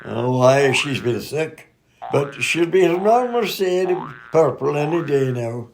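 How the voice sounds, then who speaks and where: A man voicing an eccentric, gruff Scottish farmer in a comedy radio play, folksy and matter-of-fact about absurd things. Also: a little high, expressive, unhurried, noisy background. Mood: breezy, odd. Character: eccentric old-fashioned Scottish farmer, touchy, gruff host.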